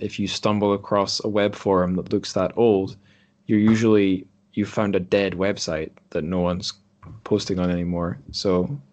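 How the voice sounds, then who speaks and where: Low-pitched man hosting a casual podcast. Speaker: podcast host. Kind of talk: casual group chat. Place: remote group call.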